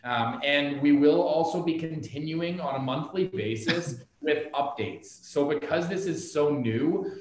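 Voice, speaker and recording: A man's voice: a little high, a little slow, few pauses. Man speaking in a very echoey room. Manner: earnest, repeats words, restarts often. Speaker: office staff presenting an update. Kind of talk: casual meeting presentation. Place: office meeting room. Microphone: laptop camera on video call, seated back from it.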